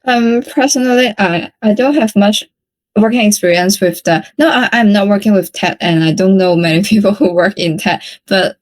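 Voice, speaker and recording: A woman's voice: mid-range voice, normal speed, a little mellow. A woman from Hong Kong with a Hong Kong accent and Cantonese as her native language, chatting casually and politely in English practice, with some hesitation. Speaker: English conversation practice participant. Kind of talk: casual chat.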